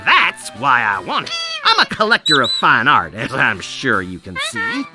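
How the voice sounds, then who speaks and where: An actor playing an eccentric, shrewd antique shopkeeper in an animated adventure game, haggling in a dry, dismissive way. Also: male voice, mid-range voice, normal speed. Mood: scheming. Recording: game music behind the voice.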